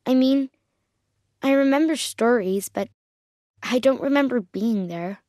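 An actress voicing a worried, hungry refugee child in a video game, talking plainly and anxiously. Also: female voice, on the high side, unhurried, dark tone, dry recording, studio quality, close to an everyday voice. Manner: anxious, wondering, plain-spoken. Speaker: video game background character.

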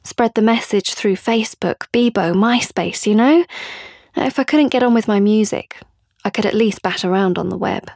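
A woman's voice: medium pitch, normal speed, gravelly, darkish tone, dry recording. A patient young woman in an audio drama. She confides in a chatty, everyday way. The recording is dry, with no room sound. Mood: wry, hopeful. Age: young adult. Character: patient young woman musician pining for her friend. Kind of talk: audio play monologue.

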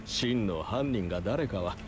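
A man's voice: on the low side, lively intonation, a little slow, crowd noise. An actor voicing a smug, calculating middle-aged businessman villain in an anime-style game, pompous and condescending. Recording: game music behind.

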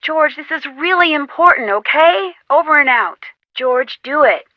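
A young American woman playing a plucky, determined detective in an adventure game, speaking earnestly and clearly. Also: high-pitched, lively intonation, measured pace, no echo, highs cut off. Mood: brisk, businesslike. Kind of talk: scripted game dialogue.

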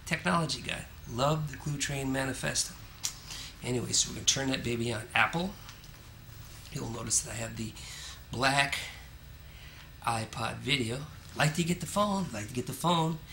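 A man's voice: mid-range voice, moderate pace, sharp tone, slight background noise. A man filming his own road trip, chatting casually to the camera with joking asides. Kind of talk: casual self-narration to camera. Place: on the road and in a parking lot.

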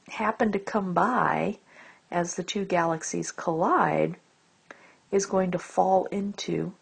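A woman giving an explanatory lecture as a teacher, phrasing deliberately and stressing key words. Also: medium pitch, vocal fry, slightly rough. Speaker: astronomy lecturer. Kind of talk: explanatory lecture over slides.

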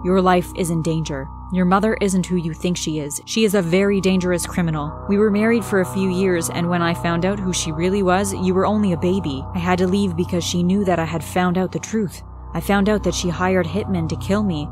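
A woman's voice: fairly low pitch, close to an everyday voice. A woman voicing a frantic hidden father. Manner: urgent, earnest pleading. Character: frantic estranged father in hiding, man.

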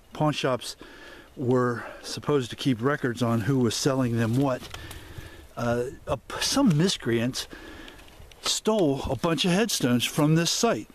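A man narrates as he pushes through thick woods, holding his camera as he goes. He talks in a loose, rambling way, full of ums and restarts, at a middling pitch. A faint outdoor hiss sits under the voice. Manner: rambling, many fillers and restarts. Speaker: explorer vlogger. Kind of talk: walk-and-talk vlog narration. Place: dense overgrown woods outdoors. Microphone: handheld camera mic while walking.

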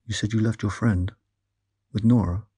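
Man playing a deep, husky, grave investigator. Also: steady tone, normal speed, warm tone, no room sound. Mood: urgent. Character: grave, cautioning man who studies the town's mysteries. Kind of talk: audio drama acting.

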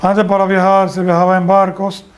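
Older guy interviewing at home, higher voice, clear patient questions, lapel mic. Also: completely flat delivery, normal speed. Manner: clear, explanatory, leads with prompts. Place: living room at home.

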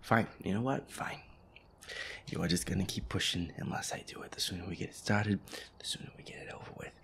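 A man playing a feisty, sweary werewolf boyfriend who grumbles his way through a horror game in an audio roleplay, his voice breathy and gravelly. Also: sits low, brisk, fairly bright tone, whispered. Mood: exasperated, reluctant. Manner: exasperated, sweary grumbling, reading game text aloud. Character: feisty werewolf boyfriend, grumpy, sweary, easily scared gamer.

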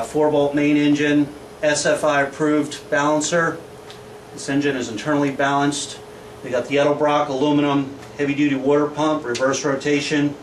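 A man in his forties gives an informal product walkthrough as an engine builder, plain-spoken and salesman-like, listing specs in clipped phrases. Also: a little high. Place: small indoor engine workshop. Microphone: camera microphone a few feet away. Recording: echoey small room, distant camera microphone, background noise.

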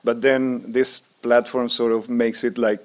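A man's voice: mid-range voice, little pitch movement, measured pace. A man from Chile, a band member, answering interview questions in a backstage room with many fillers and restarts. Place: festival backstage room, indoors.

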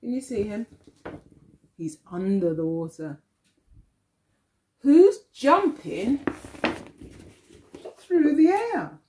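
A middle-aged woman, an early years teacher, reads a picture book aloud to young children, expressively and deliberately, with questions for them. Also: a little high, very animated, mellow, slight background noise. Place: living room at home, indoors.

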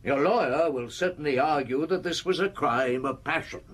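An actor playing a middle-aged police detective in a radio drama, patient, deliberate and probing, with a husky voice. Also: male voice, on the high side, fairly bright tone. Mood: grave, pressing. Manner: deliberate, probing, grunting short replies.

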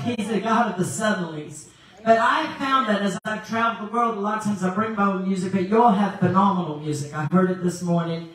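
A woman in her sixties from South Africa, a guest evangelist preaching with a handheld mic and telling stories with laughter. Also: medium pitch. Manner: animated storytelling with laughs. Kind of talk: preaching sermon. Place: large church sanctuary, indoors. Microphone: handheld mic, filmed by far camera. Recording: very echoey hall from far off.

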